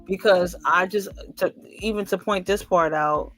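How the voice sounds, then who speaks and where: Woman guest, deep voice, loud and boisterous, on a call with faint music behind. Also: unhurried, poor audio. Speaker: interview guest. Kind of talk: casual online interview. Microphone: online call.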